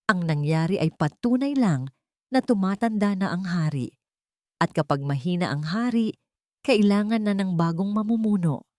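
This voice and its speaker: Female storyteller for scripted melodrama, somewhat low voice, very dry studio-like sound. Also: a little mellow, no room sound. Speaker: story narrator. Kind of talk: scripted dramatic narration.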